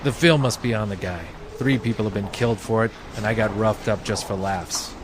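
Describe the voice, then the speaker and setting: An actor playing a wisecracking, world-weary private detective in an old-time radio drama, deadpan and sardonic. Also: male voice, lowish voice, a little fast, background noise, natural voice.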